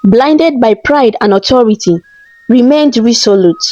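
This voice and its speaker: A woman's voice: fairly high pitch, measured pace, darkish tone, lo-fi sound, soft background music. A woman narrator reading a scripted folktale in a storybook style.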